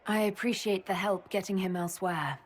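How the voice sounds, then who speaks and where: A woman playing a composed, dry-witted battle mage leader in a video game, wry and measured with a husky voice. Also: medium pitch, a little hiss or hum, close to an everyday voice.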